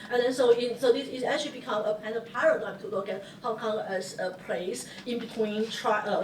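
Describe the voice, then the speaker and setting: A woman's voice: medium pitch, slight background noise. A woman from Taiwan with a Taiwanese accent, a native Hokkien speaker, presenting at an academic panel with many fillers and restarts. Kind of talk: academic conference talk. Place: lecture hall. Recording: echoey room, distant mic, faint ambience.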